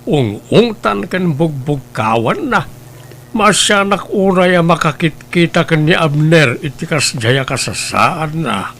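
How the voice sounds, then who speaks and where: A man playing a grave elderly grandfather who speaks slowly and reproachfully in a family drama. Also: fairly high pitch, animated, a bit bright, a little hiss or hum, exaggerated voice. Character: grave elderly grandfather, stern but caring. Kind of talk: Ilocano radio-style family drama.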